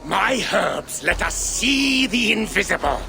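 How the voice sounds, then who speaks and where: An actor playing an eccentric, cranky old monk in a video game, with a cryptic, grandiose manner. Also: male voice, sits high, sing-song, slow, vocal fry, over music, exaggerated voice. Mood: mystical, portentous. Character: eccentric cranky old uncle, mystical herb-burning monk. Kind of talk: dramatic video game acting.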